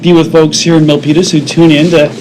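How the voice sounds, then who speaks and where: A man's voice: a little high, little pitch movement, fast. A man co-hosting a local TV talk show, chatty and upbeat, talking with lots of fillers. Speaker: co-host. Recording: clear background noise.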